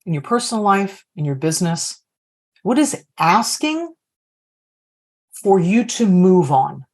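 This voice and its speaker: A woman's voice: lowish voice, big pitch swings, measured pace. A woman coaching leaders on a video call, reflectively posing open questions to the group. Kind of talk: workshop facilitation.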